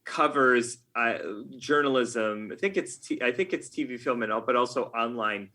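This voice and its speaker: An American man chatting as an interview guest in a casual, halting way, thinking aloud. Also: mid-range voice, sharp tone. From United States. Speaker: podcast guest, game writer.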